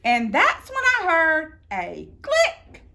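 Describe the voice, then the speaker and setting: Woman, high voice, very lively intonation, children's storybook reading, theatrical emphasis, deliberate phrasing. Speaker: storybook reader. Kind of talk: expressive read-aloud of a children's picture book.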